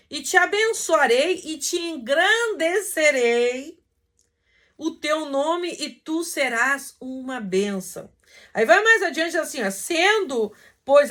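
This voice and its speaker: A Brazilian woman with a high-pitched, very lively voice preaches in an informal, teacherly way. She reads scripture with deliberate weight and explains it in conversational, emphatic phrases, her intonation rising and falling widely. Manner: emphatic, didactic, slow when reading verses aloud, conversational asides. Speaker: preacher teaching a Bible lesson. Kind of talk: informal sermon with scripture reading.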